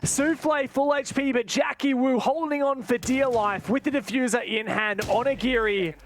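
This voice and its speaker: High-voiced excitable male esports commentator. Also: normal speed, a little hiss or hum. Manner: excitable, exclaiming at big plays. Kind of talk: live play-by-play esports commentary.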